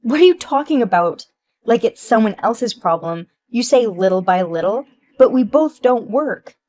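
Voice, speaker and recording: A woman voicing Emma, an entitled young wife who dodges blame, in a shrill, whiny and indignant voice. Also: expressive, measured pace, darkish tone. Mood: indignant whining.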